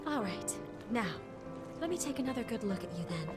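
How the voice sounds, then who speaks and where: A woman voicing a caring, earnest doctor in a video game cutscene, gentle and articulate, with feeling under the surface. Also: on the high side, big pitch swings, brisk. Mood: warm, reassuring. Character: caring, earnest woman doctor nursing her patient, quietly resentful. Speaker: doctor character. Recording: music under the voice.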